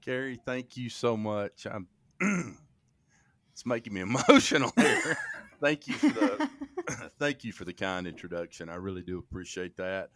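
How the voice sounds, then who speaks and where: A man's voice: on the low side, no echo, clean studio sound. A man from Alabama, a podcast guest talking casually, often restarting his sentences and telling stories with energy. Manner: halting, with many restarts and fillers; lively storytelling. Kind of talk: casual conversation.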